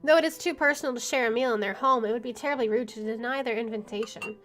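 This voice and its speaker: A woman's voice: fairly high pitch, fast talker, a bit bright. A woman playing a visual novel, reading its narration aloud and reacting chattily between passages. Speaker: game streamer.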